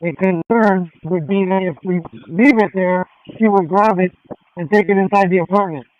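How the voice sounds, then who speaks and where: A man working as a police tactical officer, giving clipped, procedural radio traffic with hesitant pauses over a tinny scanner. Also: high voice.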